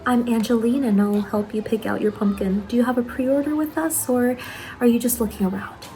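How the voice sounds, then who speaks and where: A woman playing an eager, apologetic young saleswoman in an ASMR roleplay, speaking softly, politely and a little hesitantly. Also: a little high, brisk, a little hiss or hum, natural voice. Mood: apologetic, welcoming. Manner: soft-spoken, hesitant, polite customer-service talk. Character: Angeline, eager apologetic young saleswoman at macabre pumpkin stall.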